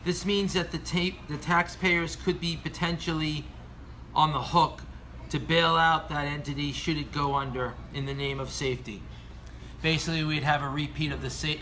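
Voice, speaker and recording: Male American commentator, sharp bright tone, formal scripted delivery with stumbles, faint background noise. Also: a little high, steady tone, normal speed, low-quality recording. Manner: earnest, formal reading with stumbles over words. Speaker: aviation commentator. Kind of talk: scripted opinion commentary read aloud.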